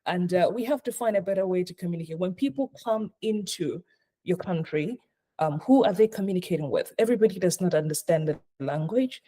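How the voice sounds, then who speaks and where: A woman's voice: fairly low pitch, lively intonation, brisk, dark tone. A middle-aged woman who grew up in Ghana, a hospitality consultant talking earnestly in a live online session and repeating points for emphasis. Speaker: hospitality consultant speaking as a guest.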